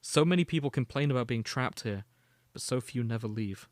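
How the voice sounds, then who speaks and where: Male podcast host, medium pitch, storytelling read of amateur fiction with informal asides, very dry sound. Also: animated, brisk, no room sound. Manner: storytelling, alternating read-out prose with casual asides. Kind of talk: reading and summarizing fan fiction.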